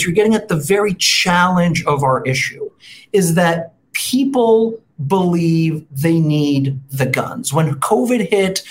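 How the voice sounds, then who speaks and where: A guest expert in a political interview, speaking in a measured way, citing research and adding frequent "right?" tags. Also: mid-range voice.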